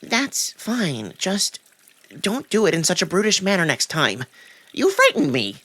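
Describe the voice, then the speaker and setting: A man's voice: high-pitched, wildly swooping pitch, a little slow, pushed and bright, husky, no room sound, pitched above the performer's own voice, full character voice. A man voicing a sly, wounded male cat in a visual novel, haughty and evasive, with formal, indignant phrasing. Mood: wary, prim. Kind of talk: visual novel character acting.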